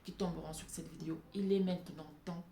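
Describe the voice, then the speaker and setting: A woman's voice: on the low side, steady tone, fast, mellow. A woman giving an impassioned, off-the-cuff opinion monologue, emphatic and repeating phrases for stress. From francophone Africa. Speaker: vlogger addressing African women. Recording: echoey room with background noise.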